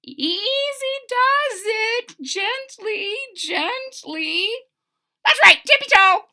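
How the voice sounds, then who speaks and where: A woman's voice: very high voice, animated, extremely slow, pushed and bright, pitched above the performer's own voice, exaggerated voice. A woman voicing a giant, bossy mother bird who guards her eggs, squawky and suspicious as she reads a picture book aloud. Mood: coaxing. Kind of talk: read-aloud storybook voice.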